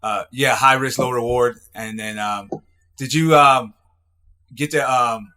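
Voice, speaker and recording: Bright-voiced man, casual coach. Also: mid-range voice, a little slow, smooth. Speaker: coach, interviewer. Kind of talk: casual coaching chat over the phone. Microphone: phone call.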